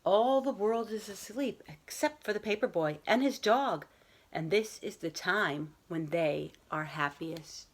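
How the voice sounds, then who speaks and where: A woman's voice: medium pitch, a little slow, slight background noise. A woman reading a picture book aloud as a school librarian, talking to young children in simple, explanatory phrasing.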